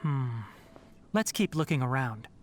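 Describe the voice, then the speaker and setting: An actor playing a composed young male forest ranger in an anime game, measured, brisk and analytical. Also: medium pitch, lively intonation, faint music behind, lightly acted. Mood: focused, analytical.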